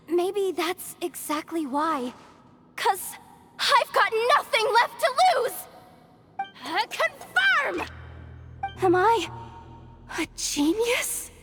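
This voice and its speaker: A woman's voice: very high-pitched, a bit bright, full character voice. A woman playing a feisty, self-reliant young hacker who mutters theatrically to herself in a video game. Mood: giddy, determined. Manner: exasperated, theatrical muttering and self pep talk. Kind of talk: animated video game voice acting.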